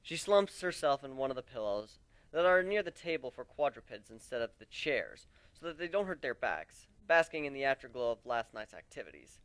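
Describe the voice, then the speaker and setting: A man reads a fantasy story aloud as its narrator, with a very animated pitch. His delivery is conversational and dramatic, recorded close and dry. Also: brisk, smooth, no room sound. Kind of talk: dramatic first-person story reading.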